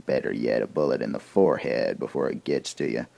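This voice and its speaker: An actor playing a grim, weathered army corporal of 1880s America, telling his account in a deliberate, folksy, gravelly way for an audio drama. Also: a bass voice, mellow, no echo, heavily acted. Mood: somber, hardened. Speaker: narrator of a soldier's account. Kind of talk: dramatic first-person audio drama monologue.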